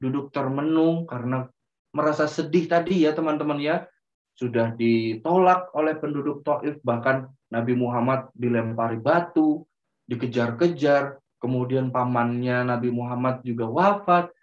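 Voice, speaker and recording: A man telling a story to children in a gentle, drawn-out way, often turning to them as friends. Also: mid-range voice. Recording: roomy, distant, dull sound.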